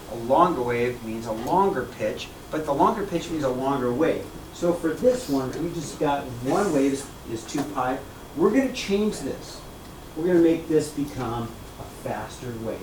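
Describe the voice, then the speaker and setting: A man teaching a math class, lively and repetitive, often checking in with "okay?" and "right?". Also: mid-range voice, background noise. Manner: energetic, repeats key points, asks "okay?" and "right?" often. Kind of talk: classroom lecture.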